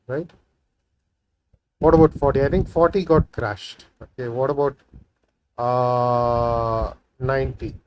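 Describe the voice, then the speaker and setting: A man whose first language is Tamil walks through a programming problem out loud in a loose, unscripted way. He keeps checking in with "right?" and "okay, so" while testing. His voice sits at an ordinary middle pitch, with a faint hiss and clicking under it. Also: rough recording. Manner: thinking aloud, many fillers and "right?" tags. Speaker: programming tutorial host. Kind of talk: casual screen-recorded coding walkthrough.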